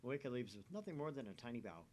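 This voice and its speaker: A man's voice: medium pitch, sing-song, quick pace, darkish tone, slight background noise. A man reading a visual novel aloud as a gaming channel host.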